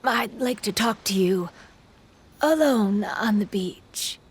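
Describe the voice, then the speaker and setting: An actress playing a gentle, wistful yokai spirit in a video game, speaking in a soft, reflective and earnest way. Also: female voice, medium pitch, animated, slow, slightly rough. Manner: soft-spoken, reflective, earnest, slightly formal. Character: gentle, wistful female yokai spirit born from festival paddles. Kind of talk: video game voice acting, sentimental monologue.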